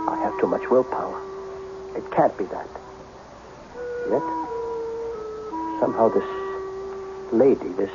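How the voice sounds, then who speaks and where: An actor playing a lonely, troubled man of about fifty, earnest and agitated, in vintage radio drama style. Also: mid-range voice. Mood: confiding, dazed. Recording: dull, faintly noisy old radio recording.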